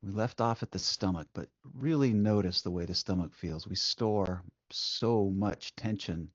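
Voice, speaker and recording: A man leading a guided meditation as the guide, speaking gently and soothingly, unhurried, with long pauses between short instructions. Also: low voice, dry recording, lo-fi sound.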